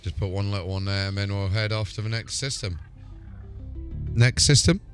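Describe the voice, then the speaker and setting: A man's voice: on the low side, normal speed. A man hosting a game livestream, chatting casually in a laid-back, sweary way. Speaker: game streamer and host. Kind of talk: casual livestream chat over gameplay. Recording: music playing behind the voice. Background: music.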